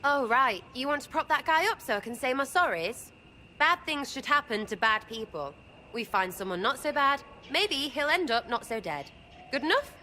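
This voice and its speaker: A woman's voice: high-pitched, expressive, normal speed, sharp tone, slight background noise. A woman voicing a cheeky, irreverent young thief archer in a video game cutscene, brash and crude in her speech. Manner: brash, mocking, slangy and crude.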